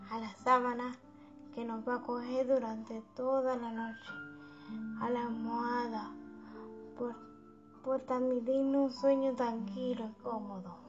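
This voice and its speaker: High-voiced woman guiding a slow sleep meditation. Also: darkish tone. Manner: soothing, unhurried, affectionate diminutives. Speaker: guided meditation host. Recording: dull lo-fi sound under background music.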